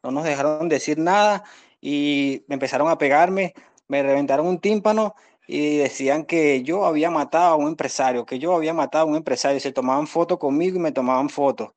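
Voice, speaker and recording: Young man, Venezuelan accent, slightly high pitch, defendant's spontaneous testimony, earnest, repetitive, phone video call. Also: fairly quick. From Venezuela, born in Caracas. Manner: earnest, repetitive explaining with apologies to the judge. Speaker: defendant speaking at a court hearing. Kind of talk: spontaneous testimony, pleading his case. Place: indoors against a plain wall, on a video call. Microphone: phone at arm's length on video call.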